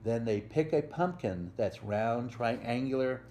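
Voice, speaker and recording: Slow, mellow man reading to small children. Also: mid-range voice, animated, a little hiss or hum. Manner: gentle, simple explaining, questions to the listener. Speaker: volunteer storyteller. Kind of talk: children's picture book read-aloud with asides to young listeners.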